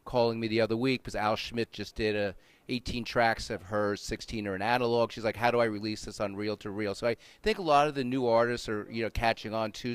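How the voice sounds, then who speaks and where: Clear-voiced American man, informal expert interviewee. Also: medium pitch, no room sound. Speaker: interview guest, audio magazine writer and panel leader.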